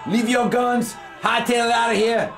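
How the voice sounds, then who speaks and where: An actor playing a gruff, domineering Texas sheriff in an Old West audio drama, with a folksy, swearing manner. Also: male voice, very high voice, level tone, normal speed, a bit bright, raised voice, soft background music, some chatter behind, full character voice. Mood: loud, confrontational. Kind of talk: western audio drama acting.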